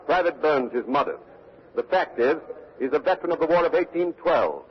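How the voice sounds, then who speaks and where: A man's voice: on the high side, lively intonation, brisk, close to an everyday voice. An actor playing an earnest male war correspondent, formal and urgent, in a 1940s radio news dramatization. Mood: probing. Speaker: radio reporter and interviewer. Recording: dull vintage radio broadcast recording.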